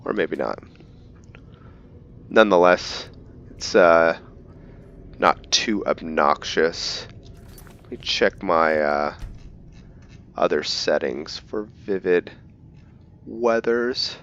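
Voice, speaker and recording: A man giving casual video game commentary and reading in-game text aloud, halting and stumbling over words. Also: deep voice, a bit bright. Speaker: video game let's player. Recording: dull lo-fi mic, light background noise.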